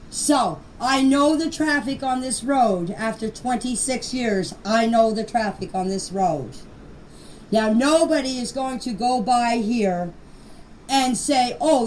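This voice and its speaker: A woman's voice: on the high side, darkish tone. An older woman in her sixties or beyond speaking plainly and bluntly in an unscripted personal voice message, with an emphatic manner. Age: 60s or older. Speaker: grandmother giving her personal account. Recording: distant, noisy amateur voice clip.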